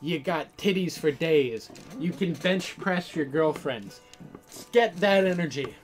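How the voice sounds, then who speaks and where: A woman's voice: low-pitched, animated, slow. Playful woman streamer with music behind. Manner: playful, teasing, profane. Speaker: game streamer and reader. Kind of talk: casual playthrough banter and reading aloud.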